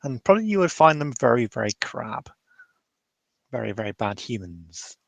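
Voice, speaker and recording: A man's voice: mid-range voice, sing-song, normal speed, creaky, no room sound. A man playing a wry, reluctant fey-blooded mage who grumbles and rambles through improvised tabletop roleplay. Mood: wry, opinionated.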